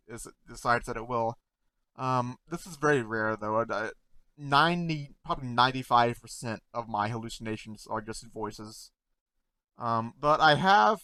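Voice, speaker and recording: Man stuttering, halting over words. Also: mid-range voice, pushed and bright, no echo. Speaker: content creator reacting to memes. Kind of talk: casual talk to camera.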